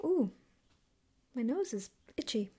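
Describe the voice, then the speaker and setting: A woman playing a gentle, caring girlfriend in an ASMR roleplay, speaking softly and hesitantly, sometimes whispering, close to the mic. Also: fairly high pitch, sing-song, slow, slightly rough, heavily acted. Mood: relaxed, affectionate. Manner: soft-spoken, hesitant, rambling. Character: gentle caring girlfriend, comforting her partner. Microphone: close binaural microphone.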